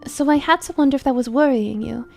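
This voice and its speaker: A woman's voice: high-pitched, quick pace, gravelly, a little mellow, soft background music. A woman playing an anxious mother in a drama, coaxing and fussing over her troubled eldest son with pleading insistence. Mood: worried, coaxing. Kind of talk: dramatic character acting.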